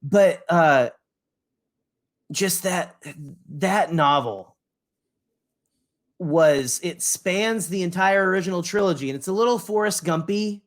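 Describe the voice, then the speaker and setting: Higher-pitched man in rambling, enthusiastic chat. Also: slow pace, long pauses. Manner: rambling, self-interrupting, slangy. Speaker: podcast co-host.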